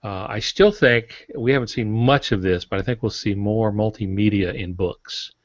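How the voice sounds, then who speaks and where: A man's voice: on the low side, moderate pace. A man speaking as a guest author on a podcast, explaining things conversationally in an informal, folksy, opinionated way. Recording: roomy, dull lo-fi podcast audio.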